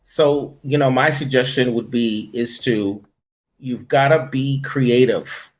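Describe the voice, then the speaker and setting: A man's voice: medium pitch, takes it slowly, poor audio. A middle-aged man, a voice-over coach, telling stories in a casual interview and acting out the directions he gives in the booth. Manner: animated storyteller who acts out booth directions.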